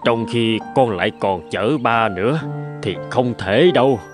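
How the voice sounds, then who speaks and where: A man's voice: medium pitch, measured pace, natural voice. A man voicing an ailing, anxious older father in a cartoon, speaking in a weary, plaintive way. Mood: doubtful. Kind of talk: animated film dubbing. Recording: music clearly behind the voice.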